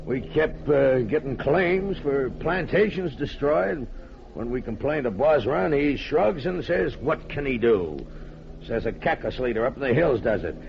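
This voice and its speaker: An actor playing a folksy, cynical American businessman in an old radio drama, blunt and colloquial, with a gravelly voice. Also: medium pitch, lively intonation, a little slow. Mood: cynical, knowing. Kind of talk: 1940s-50s radio drama acting. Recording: dull, noisy vintage radio broadcast.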